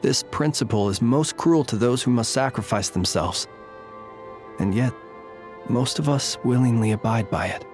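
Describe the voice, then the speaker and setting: A man voicing a calm, courtly lightkeeper in a video game, speaking in formal, measured, old-fashioned phrases. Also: medium pitch, soft background music, close to an everyday voice. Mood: solemn.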